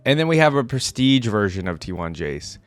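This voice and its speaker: A man's voice: lowish voice, sing-song, a little fast. A man hosting a reaction video, chatting informally and thinking aloud as he watches. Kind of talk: casual live reaction commentary.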